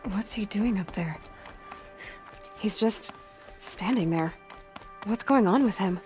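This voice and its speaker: An actress playing a headstrong young outcast huntress in a video game, wry and questioning. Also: female voice, medium pitch, slow pace, a little mellow, close to an everyday voice. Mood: puzzled. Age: young adult. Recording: music under the voice. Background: music.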